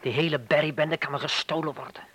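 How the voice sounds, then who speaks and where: A man's voice: on the high side, steady tone, fast, fairly bright tone, a little hiss or hum, close to an everyday voice. Impatient crime boss, curt and bossy male actor. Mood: irritated. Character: impatient, cursing male leader of a burglary crew. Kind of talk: radio play acting.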